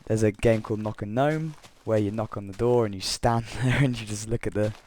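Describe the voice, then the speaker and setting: A young man with a croaky voice from being unwell, rambling through gameplay commentary and a story, correcting himself often with chuckling asides. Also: on the low side, fairly quick, a little mellow, slight background noise. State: croaky voice from illness. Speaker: Minecraft let's player.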